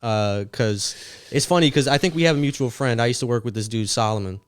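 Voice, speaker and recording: A man's voice: mid-range voice, fairly quick, smooth. A man hosting a podcast, asking questions in an easygoing, loose conversational way. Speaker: podcast host. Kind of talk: casual interview chat.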